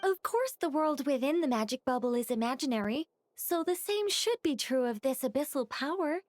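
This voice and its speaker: A woman voicing a cautious, dutiful adult female angel who speaks in a measured and wry way in video game dialogue. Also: high voice, animated. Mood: explaining.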